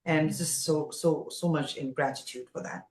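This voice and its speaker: A woman's voice: low-pitched, steady tone, normal speed, a little mellow. A woman from Nepal speaking effusively as a guest in a casual conversational interview. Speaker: podcast guest, former radio jockey. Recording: roomy, echoey sound.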